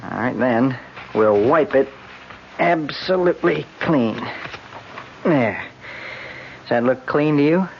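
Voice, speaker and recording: A knowing old-radio doctor, american, measured explaining, creaky voice, bit of hiss. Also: male voice, medium pitch, expressive. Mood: calm, instructive. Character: methodical male forensic doctor, calm and knowing. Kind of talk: old-time radio drama acting. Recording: dull, noisy vintage radio recording.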